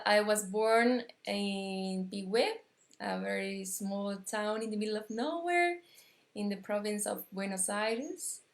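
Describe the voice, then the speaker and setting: A woman from Argentina with an Argentine Spanish accent, teaching a live metaphysics lesson in English, pausing and restarting as she searches for words. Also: medium pitch, echoey room. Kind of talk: live-streamed spiritual lesson.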